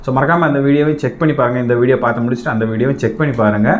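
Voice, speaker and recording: A man's voice: mid-range voice, racing. Echoey man hosting casually. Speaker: reaction channel host. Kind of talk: casual chat to viewers. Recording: dull, roomy, faint background noise.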